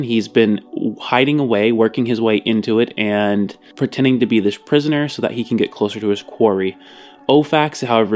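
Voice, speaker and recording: A man hosting a podcast, narrating a partly improvised recap of a story. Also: on the low side. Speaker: podcast host. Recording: music under the voice. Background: music.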